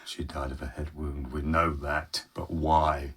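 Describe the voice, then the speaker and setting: An actor playing an obsessive male scientist in grief, talking in a desperate, hushed way in a radio drama. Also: extremely low pitch, level tone, unhurried, husky, heavily acted. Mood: grief-stricken.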